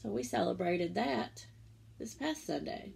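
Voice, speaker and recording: A woman reading a children's religious picture book aloud as a story-time teacher, pausing for simple explanatory asides to young listeners. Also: medium pitch, expressive, gravelly, darkish tone, a little hiss or hum, rough recording.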